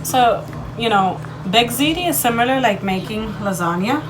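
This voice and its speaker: Woman vlogging casually over clear background noise. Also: on the high side, expressive, moderate pace. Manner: informal, addresses viewers directly. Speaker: home cook vlogger. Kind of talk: casual chat to viewers while cooking. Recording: clearly noisy home video recording.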